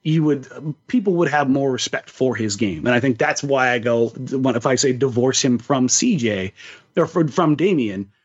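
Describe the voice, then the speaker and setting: A man's voice: medium pitch, lively intonation, moderate pace, husky, highs cut off. An American man talking sports casually as a podcast co-host, emphatic and opinionated, repeating words for stress.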